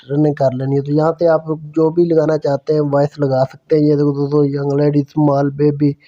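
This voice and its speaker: A man's voice: fairly high pitch, completely flat delivery, brisk, mellow, muffled recording. A man narrating a casual app tutorial, explaining step by step in a repetitive way. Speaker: tutorial host.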